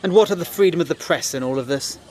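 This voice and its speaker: An actor playing a principled, sceptical British war correspondent in a First World War audio drama, earnest and articulate with a dryly challenging manner. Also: male voice, a little high, fast talker, a little mellow, natural voice. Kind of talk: period audio drama acting.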